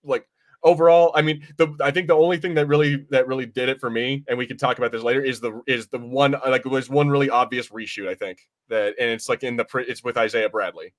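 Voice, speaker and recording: A man's voice: mid-range voice, steady tone, fast. A man hosting a podcast in casual chat, talking in an informal, rambling, self-correcting way. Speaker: podcast host.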